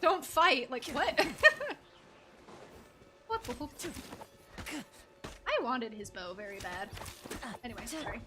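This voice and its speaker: A woman's voice: on the high side, big pitch swings, slow, long pauses. A woman doing casual live game commentary as a streamer, playful and rambling. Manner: playful, rambling, makes silly sound effects. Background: game music.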